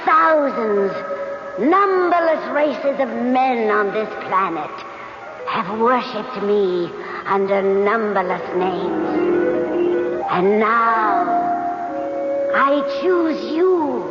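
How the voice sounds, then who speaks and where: Radio drama: ancient goddess, menacing and possessive, very slow incantation over loud music. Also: female voice, medium pitch, animated. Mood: commanding, possessive. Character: imperious ancient goddess Venus, living statue, possessive and menacing. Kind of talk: old-time radio drama acting. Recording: muffled radio, long reverb, loud music.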